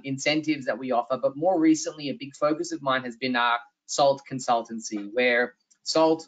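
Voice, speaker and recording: A man's voice: medium pitch, fairly bright tone, far from the mic. A man with an Australian accent presenting a tax webinar over a video call, earnest and explanatory, with frequent "uh"s and restarts. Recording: slightly dull video-call audio.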